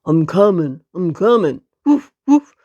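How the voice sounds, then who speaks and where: A woman's voice: fairly low pitch, sing-song, a little slow, mellow, no room sound, muffled recording, pitched below the performer's own voice. A woman voicing a silly, eager male sidekick dog in a children's storybook, barking and exclaiming.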